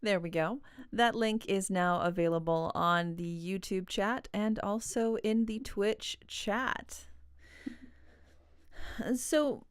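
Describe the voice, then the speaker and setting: A woman's voice: mid-range voice, clear, resonant tone, dry recording, studio quality. A woman hosting a live online Q&A, prompting her guest in a friendly manner, on a video call mic. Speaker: host.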